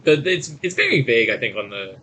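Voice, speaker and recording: A man co-hosting a podcast, giving a casual movie review in a halting, rambling storytelling way with acted-out quotes. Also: medium pitch, very animated, pushed and bright. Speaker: podcast co-host.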